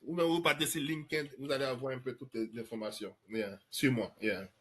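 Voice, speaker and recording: A man's voice: mid-range voice, steady tone, a little fast, a bit bright, slightly rough. A man from Côte d'Ivoire, hosting a live online question-and-answer talk in an emphatic way. He repeats words and drops English fillers. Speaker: trading course host answering questions.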